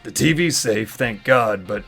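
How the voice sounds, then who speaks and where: A man playing a gravelly, blunt young bro. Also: on the low side, expressive, measured pace, a bit bright, soft background music, exaggerated voice. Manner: blunt, swearing, sardonic. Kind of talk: fan audio drama acting.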